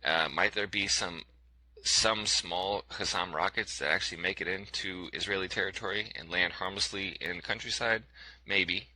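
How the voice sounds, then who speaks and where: A man's voice: sits low, fairly even intonation, normal speed, pushed and bright, no room sound, rough recording. A man giving a scripted commentary over video clips in a sarcastic, skeptical tone, with frequent fillers.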